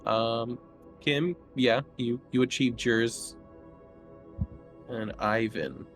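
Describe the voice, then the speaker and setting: A man's voice: mid-range voice, fairly even intonation, a bit bright. Hesitant man running a tabletop game. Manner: hesitant, halting delivery. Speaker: game master. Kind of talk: casual game narration and rules rulings. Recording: faint music under the voice. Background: faint music.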